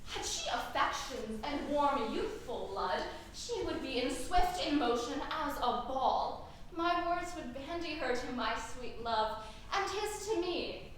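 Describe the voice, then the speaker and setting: A woman's voice: high voice, lively intonation, slow, a bit bright, a little hiss or hum, exaggerated voice. A woman playing an impatient young girl in love, declaiming verse on stage. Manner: eager, pleading, declaiming verse. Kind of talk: stage Shakespeare acting. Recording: echoey hall from far off.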